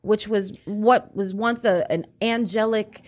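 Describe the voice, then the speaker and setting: A woman's voice: medium pitch, fairly even intonation, measured pace, a little mellow. An American woman hosting a casual radio discussion, speaking in an emphatic, conversational way and checking that listeners follow. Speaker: radio show host. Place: radio studio. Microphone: studio microphone.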